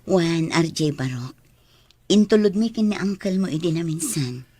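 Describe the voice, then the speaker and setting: A woman's voice: lowish voice, expressive, normal speed, warm tone, slightly rough. An actress playing a mother in a radio drama who misses her child. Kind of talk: Ilocano radio drama acting.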